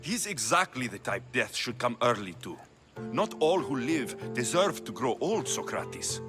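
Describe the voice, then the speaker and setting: An actor playing a determined, brooding young Spartan mercenary in a video game, blunt and intense. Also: male voice, medium pitch, big pitch swings, measured pace. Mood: grim resolve. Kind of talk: dramatic video game cutscene acting. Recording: prominent music behind the voice.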